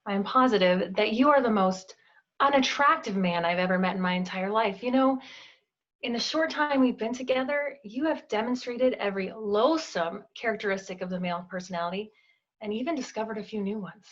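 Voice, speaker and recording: A slightly dull recording of a prim, scornful woman, played by an actress. Her mid-pitched voice is deliberate and precise, placing each cutting word as in a stage monologue. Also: lightly acted. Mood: withering disgust. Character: prim, scornful woman bluntly rejecting a suitor. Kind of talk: theatrical monologue.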